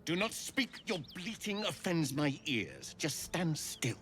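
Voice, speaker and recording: A man's voice: on the high side, sing-song, unhurried, a bit bright, faint music behind. A man playing a haughty, menacing alien warrior commander in a fantasy video game, imperious and contemptuous. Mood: irritated command. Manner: imperious, contemptuous, formal threats.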